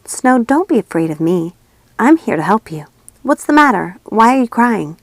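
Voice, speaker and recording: Lady doing a kind dark fairy, coaxing and sweet, bit higher, dry fairytale recording. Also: sing-song, normal speed, no room sound. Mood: gentle, coaxing. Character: dark fairy woman, gently coaxing and protective.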